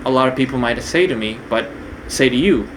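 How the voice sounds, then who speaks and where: A man's voice: mid-range voice, a little fast, rough recording. Hesitant man with a background hum. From Korea, raised partly in New Zealand. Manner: hesitant, many fillers and restarts. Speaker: video creator. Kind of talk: casual unscripted monologue.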